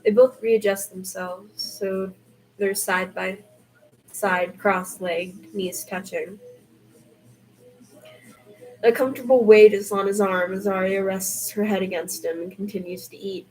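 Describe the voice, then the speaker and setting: Female amateur story narrator, unhurried, mid-pitched, informal read with faint noise behind. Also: fairly even intonation, a little mellow, poor audio. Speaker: podfic narrator reading fan fiction.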